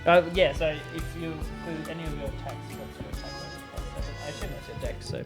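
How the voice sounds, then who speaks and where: A man's voice: on the high side, steady tone, quick pace, music in the background. A man chatting casually as a player in a tabletop game, talking through his moves and rolls.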